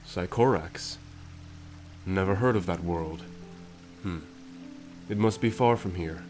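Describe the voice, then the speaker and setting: A man plays a courtly butterfly king, lord of his own fantasy world, in an ASMR roleplay. His voice sits fairly low and moves slowly, with formal, composed, regal phrasing. Faint music lies behind him. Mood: curious, measured. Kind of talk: ASMR story roleplay acting.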